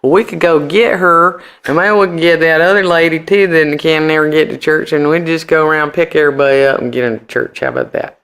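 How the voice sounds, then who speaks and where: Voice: medium pitch, steady tone, brisk, hardly any pauses, a bit bright, clean tone, close to an everyday voice. Man putting on a folksy, drawling churchgoer. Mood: neighbourly, eager. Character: folksy small-town Alabama churchgoer chatting neighbourly.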